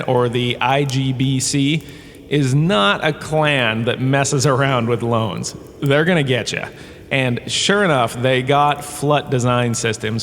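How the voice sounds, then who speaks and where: A man plays a washed-up holodrama star turned professor in a comic audio drama. He lectures theatrically, pleased with himself and breezily dismissive. His mid-pitched voice rings with long cave reverb over faint ambient noise. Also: natural voice.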